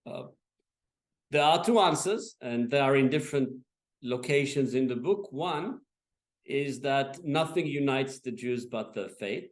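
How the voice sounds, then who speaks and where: A man speaks at an academic panel in a mid-range voice. He is measured and thoughtful, pausing on fillers and restarting phrases as he works through his argument. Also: mid-range voice. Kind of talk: lecture remarks.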